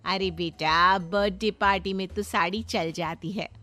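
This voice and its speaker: A woman's voice: medium pitch, steady tone, unhurried, pushed and bright. A woman voicing a middle-aged neighbour auntie, polite, reasoning and mildly amused, in a cartoon story. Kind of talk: Hindi cartoon moral story acting. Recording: music behind the voice.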